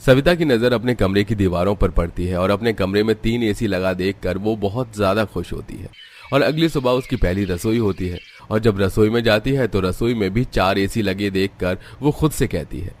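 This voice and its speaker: A man's voice: on the low side, normal speed. A man reading scripted narration for a story as its narrator.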